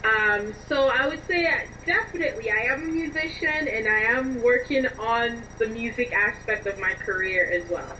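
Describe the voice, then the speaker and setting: A woman being interviewed as a writer and musician, answering conversationally in an expressive, emphatic way. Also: fairly high pitch, normal speed, few pauses. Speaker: interviewed playwright and songwriter. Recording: dull, distant mic with faint noise.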